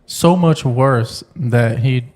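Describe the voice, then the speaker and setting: A man's voice: mid-range voice, takes it slowly, darkish tone. A man speaking in an informal, conversational way in a casual discussion. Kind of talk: casual chat.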